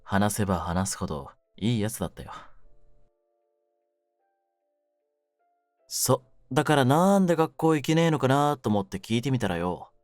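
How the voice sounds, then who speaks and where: A man playing a cynical, wounded young mafia kidnapper in an audio drama, with a low voice and rough, brooding delivery. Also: sing-song, fairly quick, darkish tone, dry recording, clean studio sound, faint music behind, close to an everyday voice. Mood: wistful. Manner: rough masculine slang, taunting, brooding delivery. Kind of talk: Japanese situation-voice audio drama, ASMR.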